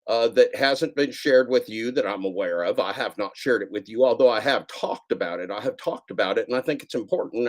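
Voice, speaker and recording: A man's voice: on the low side, a little mellow. A man hosting a casual livestream, rambling and repeating himself with a sarcastic edge. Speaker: streamer host.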